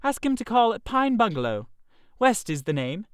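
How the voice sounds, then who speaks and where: A man's voice: sits high, very animated, moderate pace, clean tone, dry recording. A man plays a young lady in a storybook reading. She is sweet and polite with a pointed, demanding edge, in a dry close recording. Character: young woman, sweet but sharply demanding fiancee.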